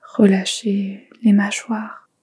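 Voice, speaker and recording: A woman guiding a yoga nidra meditation in a soft whisper, giving slow, calm instructions. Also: mid-range voice, husky. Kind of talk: guided meditation.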